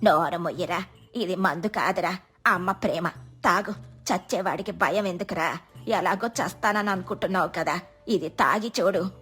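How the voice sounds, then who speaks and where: Woman playing a motherly old village healer, husky. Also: on the low side, normal speed, a bit bright, soft background music. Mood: scolding, affectionate. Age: older. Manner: motherly, pleading, addresses villagers as children.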